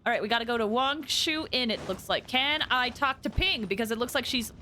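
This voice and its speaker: A woman's voice: on the high side, brisk, pushed and bright, slight background noise. A woman game streamer giving casual live commentary with exclamatory, gushing reactions. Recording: game music behind the voice.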